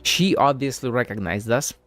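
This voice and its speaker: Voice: medium pitch, normal speed, fairly bright tone. A game streamer giving informal, slangy playthrough commentary, thinking aloud. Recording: game music faintly behind.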